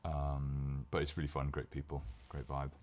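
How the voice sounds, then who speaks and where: A slightly hoarse man from Wales, a guest dentist in casual chat, talking in loose stops and starts with easy laughs. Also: extremely low pitch, monotone, normal speed, darkish tone. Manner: chatty, laughing, frequent restarts and fillers.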